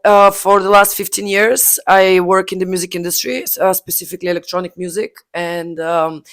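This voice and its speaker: A woman in her thirties from Bulgaria, speaking English with a Bulgarian accent, chatting as an interview guest with many pauses and fillers. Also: lowish voice, creaky. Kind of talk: casual interview chat.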